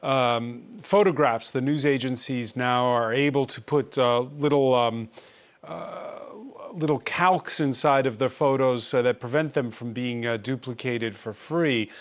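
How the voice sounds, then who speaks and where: A man's voice: medium pitch, unhurried. A man hosting an interview, asking probing questions with frequent hesitations and filler sounds. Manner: probing, hesitant with many uhs and ums. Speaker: interviewer host.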